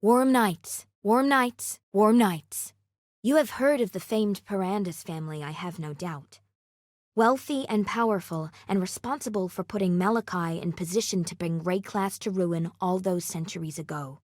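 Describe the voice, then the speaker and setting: An actress playing a solemn, vengeful assassin, last of a secret order, in a video game, speaking in a measured, formal, grave way. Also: female voice, mid-range voice, dry recording, clean studio sound, natural voice. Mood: calm greeting, then bitter, vengeful. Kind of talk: scripted video game character dialogue.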